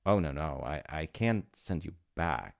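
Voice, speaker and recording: A man's voice: very deep voice, expressive, unhurried, gravelly, exaggerated voice. A man playing an awkward, chatty demigod of reincarnation in a comedic audio roleplay, stammering and apologetic as he talks to the listener. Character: flustered, chatty male demigod in charge of reincarnation.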